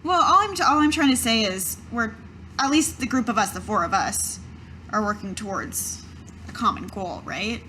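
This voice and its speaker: A woman playing a tabletop game, speaking in character in a conversational, halting way. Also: on the high side, lively intonation, pushed and bright, a little hiss or hum. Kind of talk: improvised in-character roleplay in own voice.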